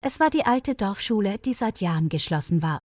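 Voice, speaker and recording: A woman's voice: medium pitch, expressive, a little fast, darkish tone, no echo. A woman reads a scripted mystery tale as a storyteller. The recording is very dry, with no room sound. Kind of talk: scripted narration of a mystery story for German learners.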